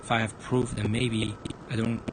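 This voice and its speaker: A man's voice: on the low side, flat delivery, moderate pace, background noise. A man livestreaming a visual novel, reading a horror story aloud as dramatic narration and stumbling over words now and then. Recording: loud music over the voice. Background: loud music.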